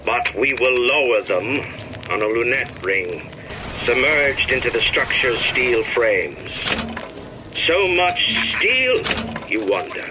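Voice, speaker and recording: An actor playing a pompous industrialist ruler, delivering theatrical, oratorical propaganda with emphatic grandeur. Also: male voice, mid-range voice, big pitch swings, slow, noisy background. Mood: proud, calculating. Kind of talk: propaganda address, theatrical game voice acting.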